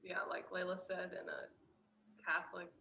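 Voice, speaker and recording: Gravelly young woman in an echoey room. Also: lowish voice, level tone, moderate pace, dull audio, a little hiss or hum. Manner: reflective, loose, filler-heavy. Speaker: guest. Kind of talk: casual interview talk.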